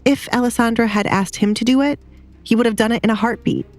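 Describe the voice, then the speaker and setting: A woman's voice: fairly high pitch, fairly even intonation, fast, darkish tone, soft background music. A woman narrator reading a scripted romance drama.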